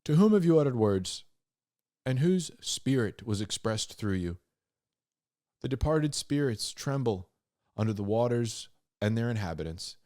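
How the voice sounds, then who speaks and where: A man hosting a Bible reading, mixing scripture with informal, slangy commentary and animated asides. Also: mid-range voice, measured pace, long pauses, no echo, clean studio sound. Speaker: host of a Bible reading show.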